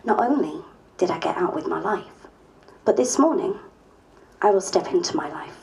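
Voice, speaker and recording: A woman playing a reflective female politician, reading her diary aloud in a measured, theatrical way. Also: fairly low pitch, animated, gravelly, darkish tone, rough recording.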